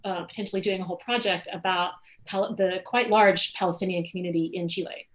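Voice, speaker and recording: A woman's voice: on the low side, steady tone, fairly quick. A woman moderating a graduate seminar Q&A, reading audience questions aloud with frequent ums and uhs.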